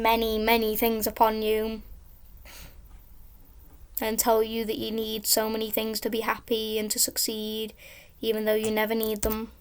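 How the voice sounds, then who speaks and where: Girl with a high voice, kinda flat delivery, just rambling on while she plays. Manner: rambling and chatty, drifting off topic. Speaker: gaming YouTuber. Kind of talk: casual commentary while playing a game.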